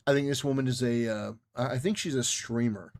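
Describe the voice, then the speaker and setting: A man's voice: medium pitch, steady tone, fairly quick, darkish tone. A man giving casual, opinionated livestream commentary in an emphatic, repetitive way, with stop-start phrasing. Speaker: livestreamer reacting to a clip.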